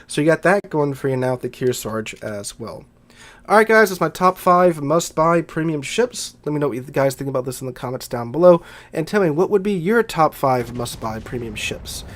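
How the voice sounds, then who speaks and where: A man's voice: a little high, animated, moderate pace. Congested man with a Southern American accent. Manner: enthusiastic, conversational. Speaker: gaming YouTuber. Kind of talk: casual video commentary.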